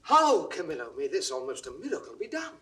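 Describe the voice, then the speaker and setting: An actor playing an ardent, anxious young prince in an impassioned, declamatory classical Shakespearean style. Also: male voice, fairly high pitch, huge pitch swings, a little fast, a bit bright. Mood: wondering, ardent. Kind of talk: classical Shakespearean stage acting, 1960s audio recording.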